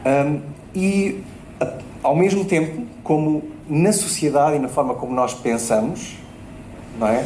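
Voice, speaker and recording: A man's voice: fairly high pitch, unhurried, dark tone. Hesitant man, European Portuguese accent. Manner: hesitant, many fillers and tag questions. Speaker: debate panelist. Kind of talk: public panel discussion. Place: event space at a bookshop. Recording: roomy hall with faint noise.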